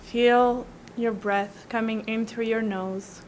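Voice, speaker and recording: A woman guiding a breathing meditation slowly and soothingly, with long pauses between instructions. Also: fairly high pitch, fairly even intonation, a little hiss or hum, low-quality recording. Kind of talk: guided meditation.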